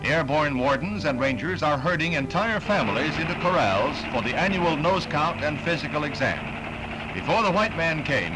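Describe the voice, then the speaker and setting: A man's voice: a little high, poor audio. An American man reading scripted newsreel narration in a formal, declamatory announcer style on a 1960s film soundtrack.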